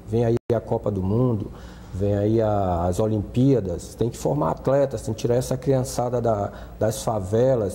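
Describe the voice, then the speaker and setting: A man's voice: medium pitch, mellow, big-room sound, a little hiss or hum. A middle-aged Brazilian man from Salvador, a TV studio guest, talking earnestly with frequent restarts. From Brazil, born in Salvador. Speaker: studio guest, former student union adviser. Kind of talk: TV interview talk.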